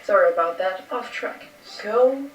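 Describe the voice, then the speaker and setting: A young woman speaking in a high, cutesy kawaii voice in an old vlog clip. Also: animated, unhurried. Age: young adult. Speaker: vlogger. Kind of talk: bubbly vlog intro. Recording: echoey, distant, dull old vlog clip with music.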